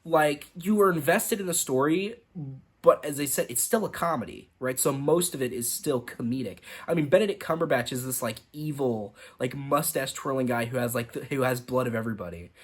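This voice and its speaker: A man's voice: mid-range voice, brisk, slightly rough. A young man giving a casual, rambling movie review, full of "like" and restarts. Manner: rambling, lots of fillers and restarts. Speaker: film reviewer.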